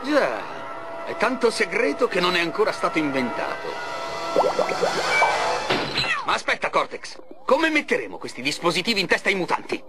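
Wildly swooping boastful mad scientist. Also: male voice, high-pitched, wildly swooping pitch, fairly quick, music in the background, full character voice. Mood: agitated, sarcastic. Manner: grandiose, erratic, over-the-top. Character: boastful, resentful mad scientist sidekick, adult man. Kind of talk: Italian cartoon dub for a video game.

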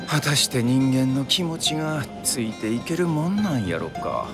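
An actor playing a refined, contemplative gentleman traveller in a radio drama, unhurried and speaking with a Kyoto accent. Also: mid-range voice, animated, over music, close to an everyday voice. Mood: pensive. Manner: unhurried, reflective. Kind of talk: radio drama acting with musing monologue.

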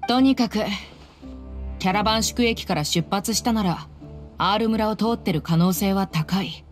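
A woman's voice: medium pitch, moderate pace, soft background music, natural voice. A woman playing a bold, easygoing mercenary in a video game, tomboyish, blunt and familiar. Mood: supportive.

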